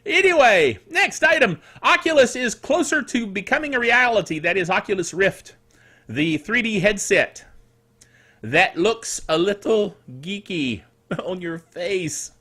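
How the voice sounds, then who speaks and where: High-pitched, animated man hosting tech news. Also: measured pace, slightly rough. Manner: chatty, jokey, digressive, self-correcting. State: rough throat, coughing. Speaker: host of a tech news show; technical director for radio stations. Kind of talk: casual scripted tech news commentary with asides.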